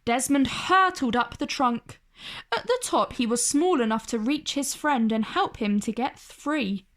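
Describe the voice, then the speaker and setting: A woman narrates a children's storybook in a fairly high voice. Her delivery is expressive and very unhurried, in the manner of a read-aloud host.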